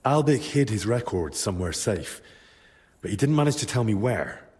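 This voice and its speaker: A man playing an earnest, determined young man in a medieval video game drama, speaking in a measured, respectful way. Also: fairly low pitch, expressive, darkish tone, lightly acted.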